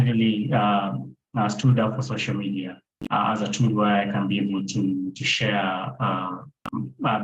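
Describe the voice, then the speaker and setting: A man speaking as a conservation photographer on an online panel, repeating words for emphasis. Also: on the low side. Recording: roomy, dull sound with faint noise.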